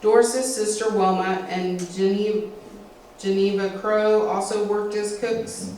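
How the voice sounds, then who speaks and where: A woman's voice: mid-range voice, steady tone, measured pace, mellow. Middle-aged American woman, script-reading speaker at a community history event. Very reverberant room, distant mic. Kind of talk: reading a prepared family history script aloud with occasional asides. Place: indoor meeting room with a small audience. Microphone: distant camera, speaker at a lectern. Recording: echoey room, distant mic, faint background noise.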